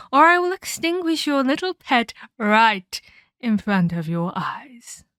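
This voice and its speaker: A woman's voice: fairly high pitch, a bit bright, clean tone, dry recording, studio quality. A woman playing a cruel, domineering ancient vampire in a dramatic ASMR roleplay, with a menacing, condescending, theatrical delivery and whispered emphasis. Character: cruel, domineering ancient female vampire scolding her captive pupil.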